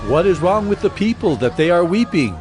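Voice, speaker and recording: A man plays an earnest young Israelite in a biblical drama. His voice is on the high side, plain-spoken, with faint music underneath. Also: big pitch swings, brisk. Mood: concerned. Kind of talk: dramatized scripture reading.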